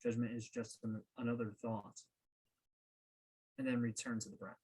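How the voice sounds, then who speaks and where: A man leading a guided meditation as a coach, speaking in a calm, unhurried way with pauses. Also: mid-range voice, husky.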